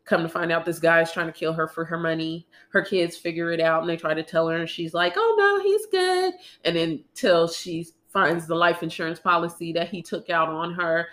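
A woman's voice: fairly low pitch, normal speed, hardly any pauses, fairly bright tone. A young woman co-hosting a podcast in casual, chatty talk, congested from a cold and laughing often. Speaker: podcast co-host.

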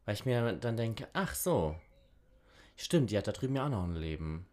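A man with a fairly low voice chatting casually on a podcast. He banters in a relaxed, slangy way while playing a guessing game with his co-host. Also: normal speed.

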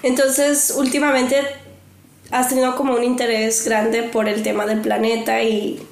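A woman hosts a live talk in a slightly high voice. She is friendly and welcoming as she introduces her guest. The sound carries a noticeable room echo. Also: level tone, measured pace, creaky. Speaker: interview host.

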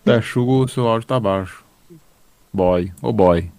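A man's voice: fairly low pitch, measured pace, a little mellow, dry recording. A man in a casual podcast chat, joking and guessing aloud. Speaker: podcast panelist.

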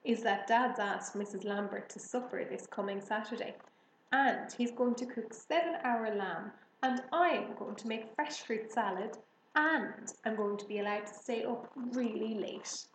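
A woman's voice: on the high side, a little slow. A woman reading a children's book aloud as storybook narration. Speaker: storybook reader. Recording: roomy echo, faint background noise.

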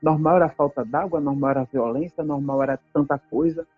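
Husky-voiced woman. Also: low voice, steady tone, fast, no echo, phone-quality sound, soft background music. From Brazil. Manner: earnest, emphatic storytelling, tag questions. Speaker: activist speaker. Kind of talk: personal testimony talk.

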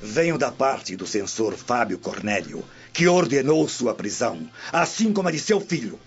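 An actor playing an authoritative Roman centurion commander in a radio drama, with a declamatory delivery. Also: male voice, medium pitch, sing-song, moderate pace, lightly acted. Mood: stern, official. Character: commanding Roman centurion officer making an arrest. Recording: dull lo-fi radio, music underneath.

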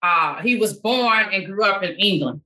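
A woman's voice: mid-range voice, expressive, moderate pace, sharp tone, rough recording. A woman hosting a podcast, who interviews her guest and encourages her with frequent short affirmations. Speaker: podcast host.

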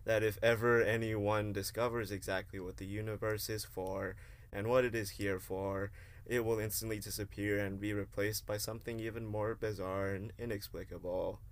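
A man playing a quiet, self-important philosophy student in an amateur radio play, speaking stiffly and formally. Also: fairly low pitch, flat delivery, normal speed, natural voice. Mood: startled, pedantic. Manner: stilted, formal speech.

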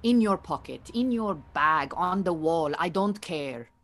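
An actress playing an exacting Iranian refugee mentor in an audio play, speaking formally and precisely with dry, bossy authority. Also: female voice, fairly low pitch, animated, unhurried, fairly bright tone. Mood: stern, commanding. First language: Farsi. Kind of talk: audio theatre acting.

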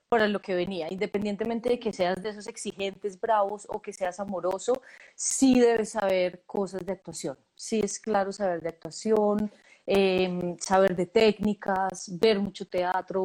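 A woman, a casting director giving relaxed, thoughtful interview answers with many fillers and restarts. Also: medium pitch, low-quality recording.

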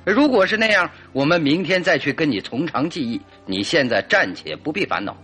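An actor playing a shrewd, authoritative detective in a radio drama, deliberate and probing. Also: male voice, a little high, expressive, bright, forceful tone, breathy edge, soft background music, full character voice. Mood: decisive.

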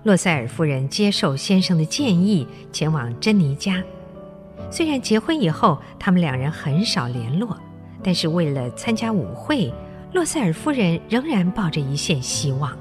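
A woman narrating a radio drama in an expressive, scripted storytelling style. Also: fairly low pitch, normal speed, music in the background.

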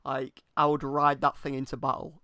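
A man's voice: medium pitch, monotone, normal speed, pushed and bright, smooth, no echo, clean studio sound. A British man co-hosting a casual comedic chat, irreverent and sweary, presenting bits he has looked up. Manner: laughs through speech, irreverent, sweary. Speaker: podcast co-host presenting researched facts.

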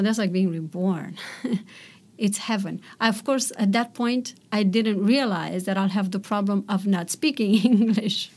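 Middle-aged woman, poet guest, measured and reflective. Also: medium pitch, darkish tone. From Romania. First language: Romanian. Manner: thoughtful, measured, searching for words. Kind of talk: poetry reading and reflective interview answers.

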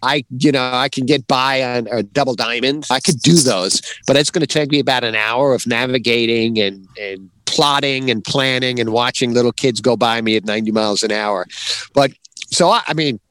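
A man's voice: medium pitch, normal speed, fairly bright tone, dry recording, low-quality recording. A middle-aged man co-hosting a podcast in a casual, conversational style about writing. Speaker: podcast co-host. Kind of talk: casual chat about writing, with some reading aloud.

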